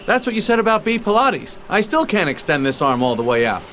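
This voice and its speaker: A restless young bee just out of college, made for a video game. He is wry and casual, with a bright, fairly high voice that bounces around. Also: big pitch swings, fairly quick, slight background noise, heavily acted. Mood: wry, skeptical.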